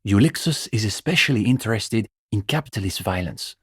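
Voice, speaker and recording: An earnest young student intellectual for a video game. His voice sits a little low with some huskiness, recorded close and dry. He lectures in a bookish, opinionated way, smugly sure of his doctrine. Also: animated, a little fast, a bit bright, slightly rough, no room sound, full character voice. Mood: self-assured, bookish. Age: young adult. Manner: intellectual, opinionated, dryly pedantic. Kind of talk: video game dialogue voice acting.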